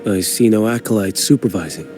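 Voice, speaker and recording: An actor voicing a calm, grave swordsman wanderer in a video game, speaking in a measured, solemn way. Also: on the low side, close to an everyday voice. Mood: cool. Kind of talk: game voice acting. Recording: game audio with music underneath.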